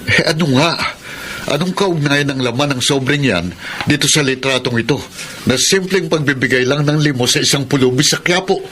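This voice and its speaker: An actor with a Filipino accent playing a brooding young prisoner in a radio drama, speaking earnestly and with emotion. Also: male voice, on the high side, normal speed, fairly bright tone, close to an everyday voice. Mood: bitter, resigned. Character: Edison, brooding young male prisoner and gifted photographer. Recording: noisy radio drama broadcast.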